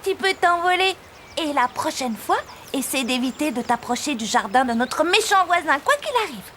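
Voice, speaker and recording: A woman playing a kindly mother figure in an animated children's cartoon. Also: sits high, moderate pace, slight background noise. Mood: gentle.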